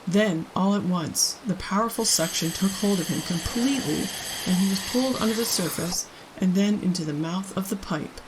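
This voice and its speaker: A woman hosting a storytime, reading a children's book aloud in an expressive narrating style. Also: mid-range voice, moderate pace, background noise. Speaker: storytime host and reader.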